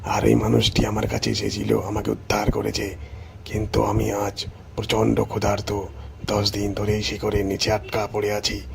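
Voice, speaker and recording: A wicked, hungry tiger from a children's cartoon, played by a man. The voice is pitched low and comes out slow and menacing, sly in temper, over a slightly noisy background. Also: creaky, a little mellow. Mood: hungry, insistent. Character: sly, hungry, wicked male tiger who pleads then threatens.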